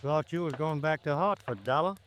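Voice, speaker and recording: An actor playing an overworked, laconic American police lieutenant with colloquial, clipped talk, in 1950s radio drama style. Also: male voice, fairly high pitch, fairly quick, slight background noise, natural voice. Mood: dry, matter-of-fact.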